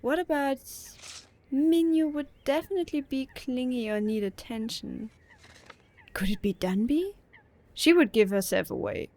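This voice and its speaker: A woman's voice: high voice, measured pace, darkish tone. A woman playing a teenage daughter in an audio drama, with a thoughtful, mildly teasing manner. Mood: pondering.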